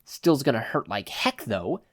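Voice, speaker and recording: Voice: mid-range voice, very animated, a little slow, fairly bright tone. A narrator voicing a brutish, smug male yakuza henchman with a blunt, threatening manner. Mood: smug threat.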